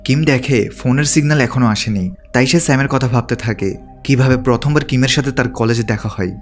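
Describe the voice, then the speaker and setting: A man's voice: medium pitch, fast talker, soft background music. A man reading a scripted story recap as a narrator.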